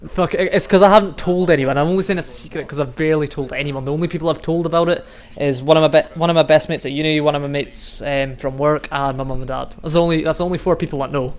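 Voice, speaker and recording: A young Highland man with a Scottish accent talks straight to his channel's viewers, rambling and swearing as he goes. His voice sits a little high for a man and comes across smooth and clear. He laughs easily between thoughts. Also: fairly even intonation, quick pace, hardly any pauses. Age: 20s. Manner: chatty and rambling, swears freely, laughs often. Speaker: vlogger and youth football coach. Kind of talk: casual monologue to camera.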